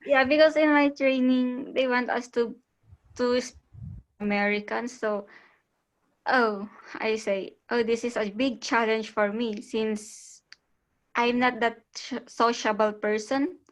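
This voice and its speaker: A woman with a Filipino accent and a fairly high voice joins a group video call. She speaks softly and hesitantly, giving short replies with pauses and fillers. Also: fairly even intonation, poor audio. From Philippines. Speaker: call center trainee.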